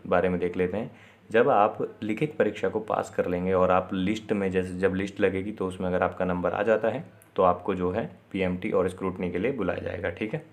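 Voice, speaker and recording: Low, monotone man explaining step by step. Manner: instructional, repeatedly checking in with short confirmations. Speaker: presenter of an exam and job information video. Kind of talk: informal explainer, addressing viewers directly.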